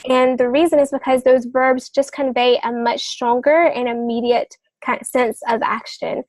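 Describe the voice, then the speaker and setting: A woman explaining things as a podcast guest, working through points with examples and pausing to rephrase as she goes. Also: fairly high pitch, little pitch movement, a little mellow, no echo, low-quality recording. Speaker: podcast guest novelist giving writing advice.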